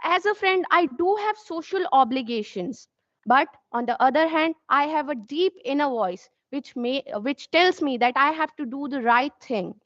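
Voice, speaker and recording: A young woman with an Indian accent speaks in a high voice, earnest and formal, sometimes breaking off to restart. The sound is dull and lo-fi, dry and close. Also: lively intonation, normal speed, no room sound. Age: young adult. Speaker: student competitor. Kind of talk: prepared competition speech.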